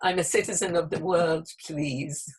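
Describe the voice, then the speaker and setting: A woman's voice: sits low, steady tone, moderate pace, husky. An older woman born in Palestine, recalling her life with a wry, emphatic manner. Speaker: interviewee. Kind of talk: personal reminiscence.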